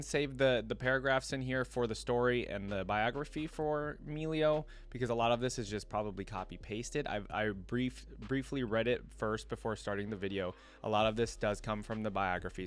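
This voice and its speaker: A man hosting a casual reaction video, talking conversationally and thinking out loud, sometimes reading aloud. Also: mid-range voice.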